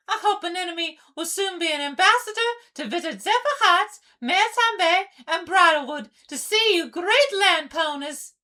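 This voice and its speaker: A woman's voice: very high voice, bright, forceful tone, heavily acted. A woman voicing a bubbly, courteous young female sea pony in an amateur cartoon comic dub, with an eager, girlish delivery.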